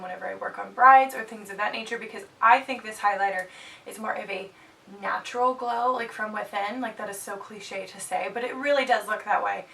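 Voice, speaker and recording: A woman's voice: mid-range voice, bright, forceful tone. Young woman, stuffed-up nose, bubbly chatty review, a bit off-mic, faint hiss. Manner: bubbly, chatty, jokey asides. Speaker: beauty vlogger. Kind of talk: casual chatty product review. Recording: slightly distant mic, faint background hiss.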